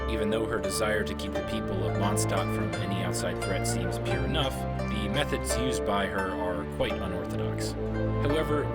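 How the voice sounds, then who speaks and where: Low-voiced man narrating over music. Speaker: video game lore narrator. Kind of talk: scripted narration. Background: background music.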